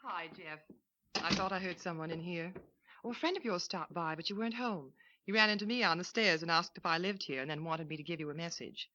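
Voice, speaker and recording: A kind young model, gentle, low, 1940s radio acting, dull vintage recording. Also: female voice, fairly quick, slight background noise. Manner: gentle, soothing, concerned.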